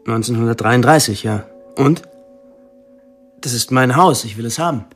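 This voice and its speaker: An actor playing a determined, stubborn young heir, a grandson, in a radio drama. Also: male voice, medium pitch, normal speed, a bit bright, breathy edge, soft background music. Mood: demanding. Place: studio.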